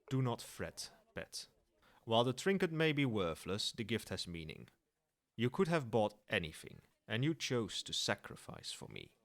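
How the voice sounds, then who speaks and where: A man's voice: on the low side, a bit bright, full character voice. A man playing a proud old dragon in human form in an ASMR roleplay, speaking in formal, lofty and teasing phrases, with fondness. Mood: fond, reassuring.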